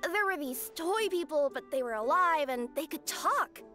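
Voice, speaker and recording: A woman voicing a young, sweet, easily flustered cat-spirit girl in a video game, expressive and hesitant. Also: sits high, normal speed, soft background music. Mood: startled, relieved. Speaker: game character voice. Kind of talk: animated game voice acting.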